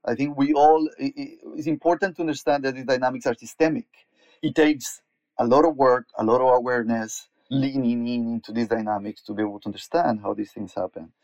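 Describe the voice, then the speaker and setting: A man from Colombia talks as an interview guest, earnest and searching, often restarting his phrases. His voice sits mid-pitched for a man and sounds close and dry, without room echo. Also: steady tone, brisk, darkish tone, muffled recording. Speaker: activist and educator, guest. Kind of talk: conversational interview.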